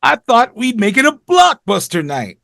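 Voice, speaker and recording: A man's voice: extremely high pitch, wildly swooping pitch, moderate pace, creaky, sharp tone, no echo, studio quality, heavily acted. A man playing a talkative teenage horror-movie geek in a script read. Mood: eager. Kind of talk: informal script table read.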